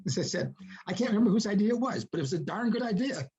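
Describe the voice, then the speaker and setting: A man's voice: sits high, animated, very fast, a little mellow, slightly rough, lo-fi sound. A man speaking as an interviewed author and producer, explaining things reflectively with folksy turns of phrase. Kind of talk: interview conversation.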